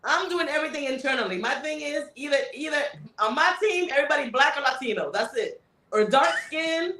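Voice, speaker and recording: A woman's voice: sits high, lively intonation, fairly quick, bright, forceful tone, echoey room. A woman with a Miami accent talking on a panel in a loose, rambling way, full of fillers and asides. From United States. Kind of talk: casual panel discussion. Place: indoor panel event.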